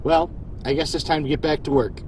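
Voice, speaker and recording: A man's voice: on the high side, fairly even intonation, fairly quick, darkish tone, a little hiss or hum, natural voice. A man playing a genial, wry starship captain in a science fiction audio drama. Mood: friendly, wry. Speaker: lead actor in audio drama.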